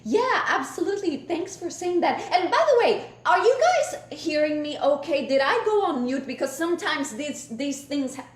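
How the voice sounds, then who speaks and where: High-pitched Brazilian woman, echoey room. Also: lively intonation, moderate pace, hardly any pauses. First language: Portuguese. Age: middle-aged. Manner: enthusiastic, passionate. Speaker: guest chef and cookbook author. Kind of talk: conversational interview storytelling. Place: indoors on a video call. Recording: roomy, echoey video call.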